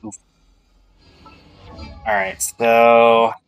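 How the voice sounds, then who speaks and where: A man streaming a video game in a casual, conversational way, often half-muttering to himself. His voice is mid-pitched, with game music playing behind him. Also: flat delivery, extremely slow, clear, resonant tone. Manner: muttering to himself while troubleshooting. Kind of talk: casual live stream commentary.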